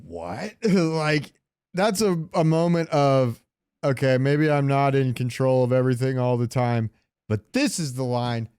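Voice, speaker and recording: A man with a clear, mid-pitched voice chats casually as a podcast co-host. He is enthusiastic, colloquial and freely profane, recorded very dry. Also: measured pace, a little mellow, no echo. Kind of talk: casual gaming podcast chat.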